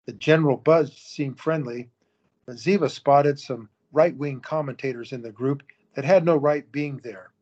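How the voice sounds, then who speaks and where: A man narrating the scenes of an audio drama in a steady storytelling manner. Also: medium pitch, moderate pace, dull audio.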